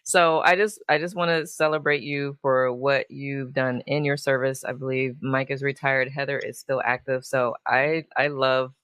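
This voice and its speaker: Woman, very low pitch, talk show host, effusive, casual interviewing, dry sound. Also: level tone, a bit bright, smooth, no echo. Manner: effusive, quick to laugh. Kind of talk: warm casual interview chat.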